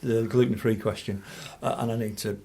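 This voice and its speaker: A man's voice: lowish voice, fairly even intonation, a little fast, vocal fry, mellow, slight background noise. Wry male radio host. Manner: wry, self-deprecating, chatty. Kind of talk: informal radio interview. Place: radio studio.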